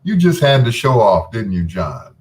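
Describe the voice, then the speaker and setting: A man's voice: fairly low pitch, very animated, moderate pace, a little mellow. A middle-aged man hosting a radio show in a casual late-night chat, laid-back, folksy and teasing with his listeners. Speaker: radio host.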